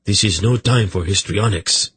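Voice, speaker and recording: Man playing a curt, husky king. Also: fairly low pitch, normal speed, fairly bright tone, no room sound, pitched above the performer's own voice. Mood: impatient. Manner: curt, dry. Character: irritable thirty-nine-year-old king, dry and commanding.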